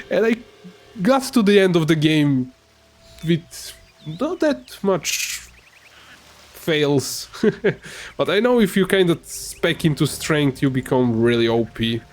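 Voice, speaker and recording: A man whose first language is Serbian, giving casual, halting commentary while playing a game, with many fillers and restarts. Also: on the high side, big pitch swings. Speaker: gamer.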